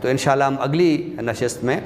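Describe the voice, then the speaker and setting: A man giving a classroom lecture as a literature teacher, explaining things step by step and addressing his listeners directly. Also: mid-range voice. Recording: reverberant hall, clear background noise.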